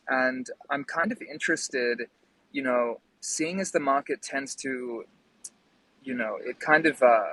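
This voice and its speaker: A man's voice: medium pitch, fairly bright tone, dry recording, poor audio. A man hosting a casual live discussion, talking in a friendly, appreciative way. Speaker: host.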